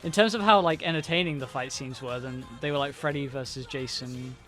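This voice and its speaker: A man's voice: mid-range voice, a little fast, music in the background. A young man co-hosting a casual movie review chat, rambling with many fillers and restarts. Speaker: podcast co-host.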